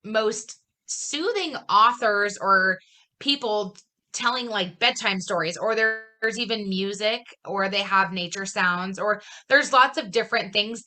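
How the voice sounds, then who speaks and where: A woman's voice: mid-range voice, a little slow, bright, forceful tone. A woman in casual conversation, explaining things in an informal, rambling way. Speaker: podcast host. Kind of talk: casual chat.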